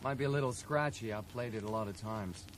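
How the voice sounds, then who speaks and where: An actor playing a grieving, loyal brother who speaks candidly and hesitantly in a radio drama. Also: male voice, fairly low pitch, a little fast, lightly acted. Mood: resigned, wistful. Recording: noisy 1980s radio drama.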